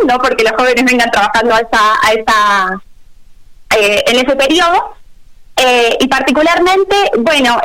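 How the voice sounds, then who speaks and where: A woman teacher with an Argentine accent, talking quickly with many hesitations on a video call. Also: sits high, a bit bright, rough recording. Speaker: special education teacher. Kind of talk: answering questions on a video call panel.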